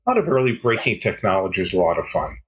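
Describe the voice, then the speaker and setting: An older man answering interview questions as a guest, explaining in a measured way with pauses between phrases. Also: deep voice, animated. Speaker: podcast guest, sales executive.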